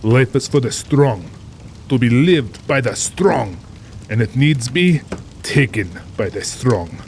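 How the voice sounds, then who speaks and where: Over rain and ambience comes a middle-aged aristocratic general in a radio-style drama. He is urbane and cold-blooded, with formal diction and a pompous, amused air. His voice sits at a medium male pitch. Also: expressive, slow, slight background noise. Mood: cold, menacing. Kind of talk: dramatized audiobook acting.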